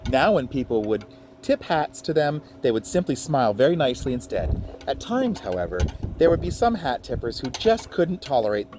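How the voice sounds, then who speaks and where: A man reading a children's picture book aloud in a playful, expressive way, with chatty asides to his young listeners. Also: medium pitch, moderate pace, a little hiss or hum.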